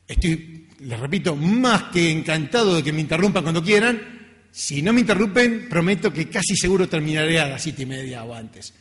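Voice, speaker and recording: A man's voice: a little high, animated, normal speed. A middle-aged man with a Latin American accent giving an informal academic talk in a conversational, self-deprecating way. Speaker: research scientist giving a lecture. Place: lecture hall. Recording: reverberant hall.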